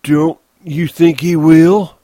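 A man voicing a big, slow-witted, childlike man in an audiobook, trusting and anxious, speaking in simple, stubbornly repetitive rural phrases. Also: on the high side, fairly even intonation, dark tone, no echo, voice pushed up. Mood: worried, insistent.

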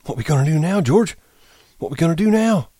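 A man's voice: a little high, lively intonation, brisk, dark tone, husky, no echo, studio quality, voice pushed up. A man voicing a grieving, bitter old ranch hand with rustic American speech, in an audiobook reading. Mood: anxious.

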